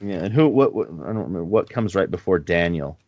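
Low-voiced man in his forties, rambling. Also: animated, fairly quick, dry recording. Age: 40s. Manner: rambling, chuckles between asides. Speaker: podcast co-host. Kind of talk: casual chat. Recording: slightly muffled podcast recording.

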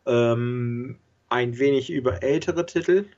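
A man's voice: medium pitch, takes it slowly, a little mellow. A man hosting a podcast in casual chat, talking hesitantly with many filler sounds. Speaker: podcast host. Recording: dull, lo-fi sound.

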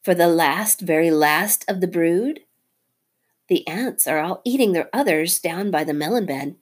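A woman voicing a brave young male mongoose, bright and excited in his speech. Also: fairly low pitch, unhurried, slightly rough. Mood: triumphant.

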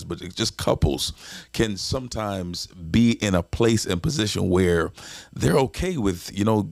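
Forties male co-host, deep gravelly voice, reflective, stumbles over words, dry recording. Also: no echo. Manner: reflective, stammers and repeats words. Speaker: podcast co-host, husband. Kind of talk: casual conversation.

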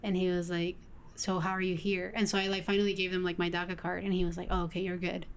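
A young woman co-hosting a casual podcast, telling a story in a lively, casual way. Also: on the low side, fast talker, a little hiss or hum. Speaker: podcast co-host.

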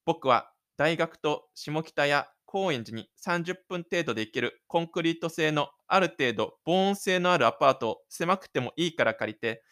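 A man's voice: fairly high pitch, fairly bright tone. A man voicing a shy, stubborn, lonely teenage schoolboy in an introspective audio drama monologue.

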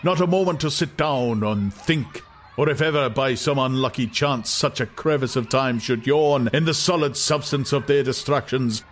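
A grandiose older ruler of the world , acted by a male audiobook reader, holds forth in a raised, oratorical voice. He lectures in measured phrases and relishes every repetition. Clear noise runs under the recording. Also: mid-range voice, voice pushed up, lightly acted. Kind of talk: dramatized audiobook acting.

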